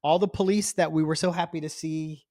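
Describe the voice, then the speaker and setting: A man with a somewhat high voice chats as a podcast co-host. He is informal and jokey, recapping television in a casual way, with a dry, echo-free sound. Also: steady tone, a little fast, dark tone. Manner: informal, jokey, acts out quotes. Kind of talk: casual TV episode recap chat.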